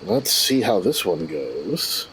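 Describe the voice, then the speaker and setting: A man's voice: low voice, very animated, slow pace, fairly bright tone, a little hiss or hum, rough recording. A man chatting casually and wryly as a player in a tabletop roleplaying game.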